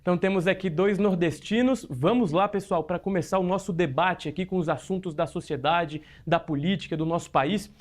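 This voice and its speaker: A man's voice: high-pitched, a little fast, few pauses. A man hosting a studio talk show, upbeat and friendly, putting questions to his guests. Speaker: news show host.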